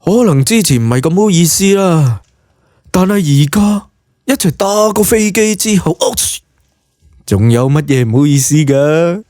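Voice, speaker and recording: A man's voice: fairly high pitch, lively intonation, unhurried, a little mellow, no room sound, clean studio sound. A man with a Cantonese accent narrating an audiobook story in a dramatic, colloquial storytelling style.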